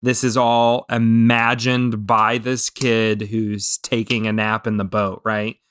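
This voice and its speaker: A man's voice: fairly low pitch, little pitch movement, slow pace, fairly bright tone, clear, resonant tone. An American man in his thirties from the Midwest, co-hosting a casual film discussion in an enthusiastic, rambling way. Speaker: podcast co-host. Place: small room at home, indoors. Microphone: close podcast microphone with headphones.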